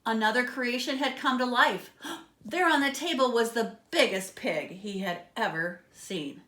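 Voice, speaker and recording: A woman reading a children's story aloud in an expressive, dramatic way, with asides to her listeners. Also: on the high side, a little slow. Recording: echoey, roomy sound.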